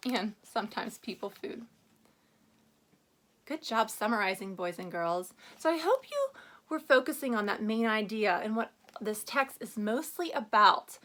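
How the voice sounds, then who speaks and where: A woman teacher giving a read-aloud reading lesson to children, instructing with questions, prompts and deliberate emphasis on key words. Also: on the high side, a bit bright, slight background noise. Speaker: elementary school teacher.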